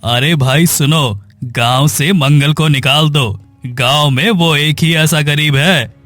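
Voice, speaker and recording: A man's voice: a little high, steady tone, slow pace, a bit bright, no room sound, faint music behind, voice pushed down. A man voicing a harsh, hostile village man in a cartoon moral story, scolding and agitated. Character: harsh, hostile village men driving out the sick.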